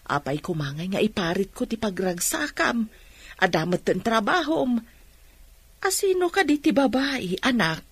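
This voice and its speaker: Husky, expressive worried Ilocano mother. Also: mid-range voice, moderate pace, dry recording. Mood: probing. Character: worried, caring mother of a grown policeman. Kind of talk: radio drama acting.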